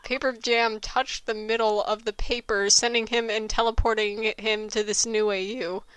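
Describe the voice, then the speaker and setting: Kinda high voiced girl joking around and riffing on a fanfic, teasing, mock outraged. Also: flat delivery, moderate pace, fairly bright tone, smooth, no room sound, poor audio. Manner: teasing, exclaiming, mock-outraged at story flaws. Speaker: co-host commenting on fanfiction. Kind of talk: casual joking commentary.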